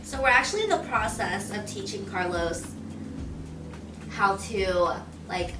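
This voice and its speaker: A woman's voice: medium pitch, lively intonation, a little slow, roomy sound, far from the mic, background noise. A young woman, a YouTuber, chatting casually in a couple Q&A, giggly and saying "like" a lot. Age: young adult.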